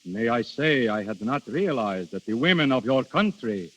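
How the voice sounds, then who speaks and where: A man's voice: medium pitch, lively intonation, moderate pace, lightly acted. An actor in a 1930s radio drama playing Death on holiday as a courtly prince, speaking formally and theatrically and savoring his words. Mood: courtly, charming. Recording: dull, hissy 1930s radio recording, faint music.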